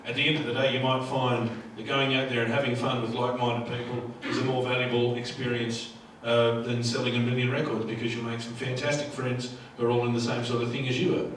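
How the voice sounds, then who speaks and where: A man's voice: medium pitch, little pitch movement, a little fast, hardly any pauses, a bit bright. Distant, echoey man on a panel. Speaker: music industry panellist. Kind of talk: conversational panel advice. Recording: echoey room, distant mic, faint noise.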